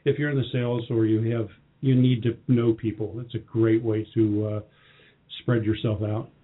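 A middle-aged or older man with a slightly husky voice, answering interview questions in a plain-spoken, affirming, conversational way. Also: fairly low pitch, flat delivery, normal speed. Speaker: company president, interview guest. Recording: muffled, band-limited lo-fi audio.

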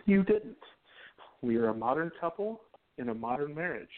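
A man's voice: fairly high pitch, sing-song, normal speed. A man playing a devoted young newspaperman in a 1920s radio romance, speaking in an emphatic, romantic way. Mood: tender. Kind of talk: radio play acting. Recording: tinny phone-like line.

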